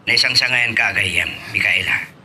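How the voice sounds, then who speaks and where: A man acting a gentle, lovelorn, sorrowful suitor in an Ilocano romance radio drama. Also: on the low side, moderate pace, background noise, lightly acted. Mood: tender.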